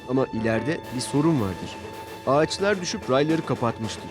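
A man's voice: mid-range voice, lively intonation, normal speed. A man narrating a scripted children's story as the storyteller. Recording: music bed behind narration. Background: music.

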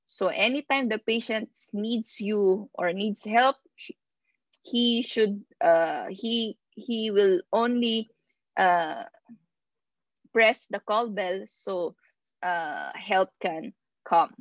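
A woman instructor giving a slide lecture over a video call, reading out points and explaining them haltingly, pausing mid-phrase. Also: mid-range voice, no room sound, muffled recording. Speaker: instructor of nursing assistant course.

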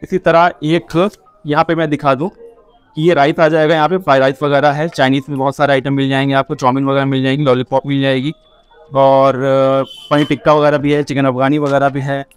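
A man's voice: mid-range voice, flat delivery, a little fast, clear, resonant tone, faint music behind. A man in his thirties hosting a casual food vlog, talking chattily with fillers, recorded on a lapel mic. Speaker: food vlogger host. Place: busy street at night, then restaurant indoors. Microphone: clip-on lapel mic.

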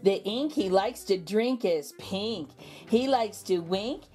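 A woman reading a children's storybook aloud in a deliberate, rhythmic, expressive manner. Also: mid-range voice. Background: background music.

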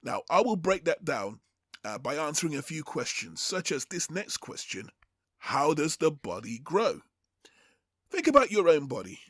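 A man teaching from the Bible as host, in a measured, deliberate and formal way. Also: on the high side, very animated, a bit bright, husky, no echo, clean studio sound. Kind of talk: Bible teaching over slides, with prayer.

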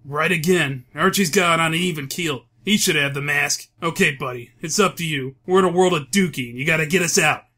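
A man's voice: on the high side, brisk, few pauses, bright, forceful tone. A man playing a wisecracking, streetwise young schemer in a comic book audio drama, brash and casual. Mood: urging.

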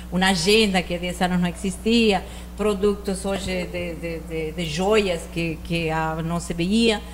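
Argentine shop owner, 40s, lower voice, faint hum behind, halting mixed speech. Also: female voice, poor audio. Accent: Argentine Spanish. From northern Argentina. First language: Spanish. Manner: mixes Spanish words into Portuguese, hesitant restarts. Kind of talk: casual interview answers. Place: TV studio set styled as rustic ranch. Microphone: handheld mic.

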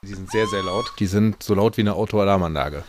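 A man's voice: deep voice, fairly quick, heavy background noise. A man working as a falconer, giving casual, plain-spoken and playful explanations to children.

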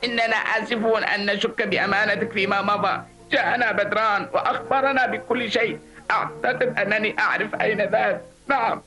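A man's voice: sits high, steady tone, a little fast, bright, forceful tone, faint music behind. A man voicing a worried, remorseful insect father in a dubbed cartoon, anxious and plaintive.

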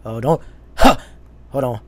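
A man's voice: mid-range voice, measured pace, heavy background noise. A man streaming a game in casual, slangy chat with teammates, teasing and swearing. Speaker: gaming streamer. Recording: game music behind the voice. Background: game music.

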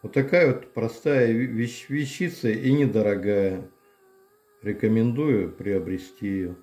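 An older man casually demonstrating a massager at home, explaining plainly with pauses and fillers. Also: on the low side, mellow. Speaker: channel host reviewing a massager. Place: home room, indoors. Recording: echoey room.

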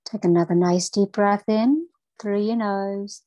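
Female meditation guide for kids, unhurried and gentle, encouraging instructions, very little room sound. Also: mid-range voice, steady tone, no echo. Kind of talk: guided breathing meditation for children.

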